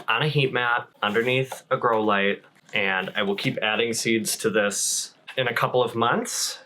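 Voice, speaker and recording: A man's voice: on the low side, bright, forceful tone, a little hiss or hum. A man chatting casually as a plant vlogger, playful and self-deprecating, drifting into rambling asides. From Wisconsin, United States. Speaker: plant vlogger and shop owner. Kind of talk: casual how-to vlog chat.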